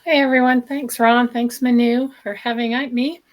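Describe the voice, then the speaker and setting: A woman speaks on a group video call, her voice worn down by an oncoming illness. She stays upbeat and earnest, her pitch sitting slightly high, and she leans on frequent ums as she thinks. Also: flat delivery, moderate pace. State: voice going from illness. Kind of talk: casual testimonial on a group call.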